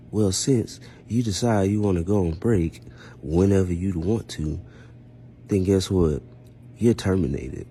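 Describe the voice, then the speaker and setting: A man's voice: on the low side, warm tone, a little hiss or hum. A man telling a casual first-person story in an aggrieved, emphatic manner, throwing out rhetorical questions and acting out exchanges. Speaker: storyteller recounting own experience.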